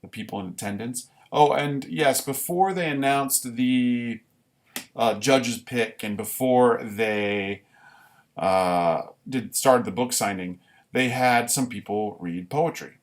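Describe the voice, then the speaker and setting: A man chatting casually as a livestream host, rambling and halting, often correcting himself as he goes. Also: medium pitch. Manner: rambling, halting delivery with frequent self-corrections. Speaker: amateur writer.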